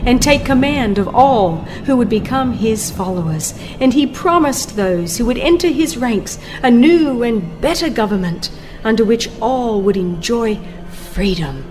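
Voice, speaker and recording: A woman giving a dramatized, scripted narration of a religious book in a solemn, deliberate, theatrical manner, her phrases often ending hushed. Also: mid-range voice. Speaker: audiobook narrator. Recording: music behind the voice. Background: background music.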